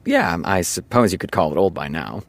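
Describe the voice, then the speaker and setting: A man playing an easygoing, earnest TV showrunner in an audio drama, confiding in casual storytelling with dramatic pauses. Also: deep voice, very animated, slight background noise. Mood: earnest, nostalgic. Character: easygoing male TV showrunner, chatty earnest raconteur. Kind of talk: audio drama acting, naturalistic dialogue.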